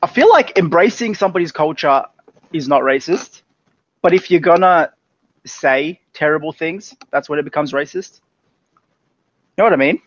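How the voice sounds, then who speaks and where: A man's voice: fairly high pitch, animated, moderate pace, long pauses, no echo. Young Australian man, muffled sound. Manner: easygoing, earnest, uses lots of fillers. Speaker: podcast co-host. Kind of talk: casual chat. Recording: dull, slightly muffled with faint hiss.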